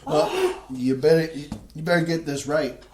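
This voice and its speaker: Woman as deep-voiced gruff older husband, echoey. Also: very animated, unhurried, exaggerated voice. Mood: gruff, challenging. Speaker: actor in a staged play reading. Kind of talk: scripted community theatre acting. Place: indoor playhouse staged reading. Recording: echoey room with clear background noise.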